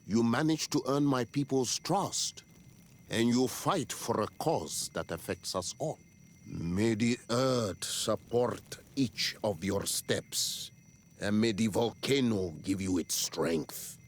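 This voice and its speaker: Slow, solemn island chieftain. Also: male voice, on the low side. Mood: solemn blessing. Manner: solemn, deliberate, ceremonial. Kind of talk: dramatic video game dialogue.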